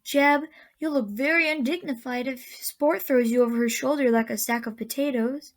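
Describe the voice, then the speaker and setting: A woman playing a kindly old aunt in a radio drama, speaking with gentle, folksy, unhurried counsel. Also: high-pitched. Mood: gently persuasive. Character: kindly old auntie of a magical land.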